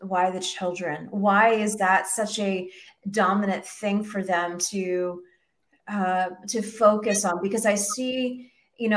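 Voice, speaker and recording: Woman show host, emphatic interviewer who repeats questions, mid pitch. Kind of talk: interviewing a guest.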